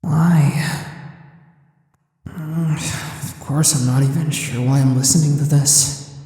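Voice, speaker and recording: A woman playing an aloof, indifferent teenage boy in a fan audio drama, blunt and deadpan. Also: low voice, takes it slowly, reverberant. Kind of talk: fan audio drama, anime game adaptation.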